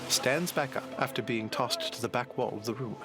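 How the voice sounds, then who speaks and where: A man's voice: medium pitch, normal speed, loud music behind the voice. A man narrating a scripted adventure story as its storyteller.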